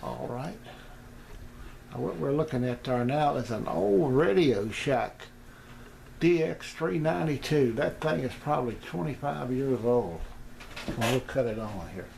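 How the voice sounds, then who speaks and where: Guy with a gravelly voice doing a casual radio demo, kinda halting, static noise around him. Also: medium pitch, expressive, darkish tone. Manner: halting, thinking aloud. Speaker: shortwave radio hobbyist demonstrating receivers. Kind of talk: casual unscripted video demonstration. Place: indoors beside shortwave radios. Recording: noisy room with radio static. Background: radio broadcasts and static.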